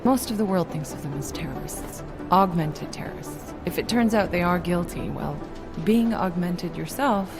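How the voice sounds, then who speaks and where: Composed, probing game doctor, lowish voice, measured and dry, music underneath. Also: female voice, expressive. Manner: measured, straight-talking, dry. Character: composed, probing female agency doctor evaluating an agent. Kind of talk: video game cutscene acting. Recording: music behind.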